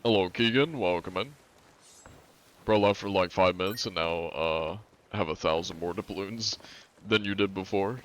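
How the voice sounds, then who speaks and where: A man's voice: low-pitched, moderate pace, fairly bright tone, a little hiss or hum. A man in his twenties streaming a game, chatting casually and reading out chat with lots of fillers. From northern United States. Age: 20s. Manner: rambling, filler-heavy, reading chat aloud. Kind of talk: casual live-stream chat while gaming.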